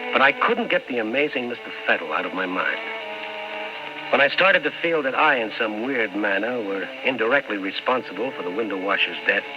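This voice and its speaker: A man's voice: on the low side, lightly acted. Skeptical newspaperman played by an actor, muffled radio. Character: skeptical, dogged newspaperman narrating his investigation. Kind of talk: old-time radio drama narration. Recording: muffled old radio recording.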